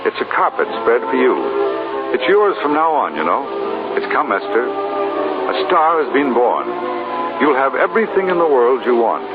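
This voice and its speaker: A man's voice: medium pitch, big pitch swings, moderate pace, natural voice. An actor playing a charming, world-weary male film star with self-mocking wit in a 1940s radio drama. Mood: tender, regretful. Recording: tinny 1940s radio recording, loud music behind.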